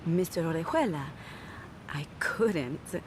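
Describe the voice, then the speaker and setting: A woman's voice: sits low, lively intonation, takes it slowly, noisy background. An actress playing a pouting young gangster's girlfriend in a game cutscene. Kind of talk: video game cutscene acting.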